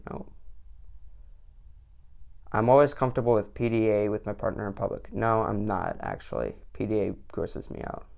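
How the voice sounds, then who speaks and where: A man's voice: fairly low pitch, animated, moderate pace, gravelly, pauses often, a little mellow. A teenage boy giving sarcastic commentary on an online quiz, with mock-indignant outbursts. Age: late teens. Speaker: vlogger.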